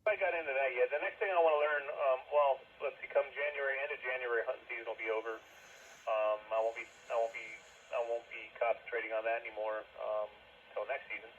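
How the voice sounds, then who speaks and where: A man's voice: medium pitch, steady tone, fast talker, a bit bright, breathy edge. A man talking in an easygoing, colloquial way, chatting casually about his hobby over a radio link. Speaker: amateur radio operator. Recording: noisy narrow band radio link.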